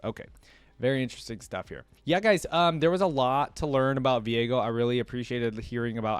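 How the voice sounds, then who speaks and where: Man, lowish voice, dry recording, conversational reactor, curious, thinks aloud. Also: dry recording. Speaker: reaction video host. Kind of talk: casual commentary, reading wiki text aloud and reacting.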